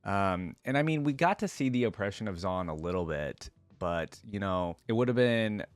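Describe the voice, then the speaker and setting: Male reaction host, lowish voice, casual storytelling and fan commentary, dry recording. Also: animated, normal speed, dry recording, soft background music. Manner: casual, slangy asides.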